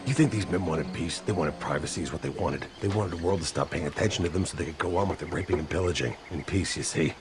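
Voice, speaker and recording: Male actor as menacing game mercenary, very deep voice, grim, fatalistic, world-weary, deliberate, loud musical backing. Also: natural voice. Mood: menacing, bitter. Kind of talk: video game cutscene acting. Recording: dramatic music loud behind the voice.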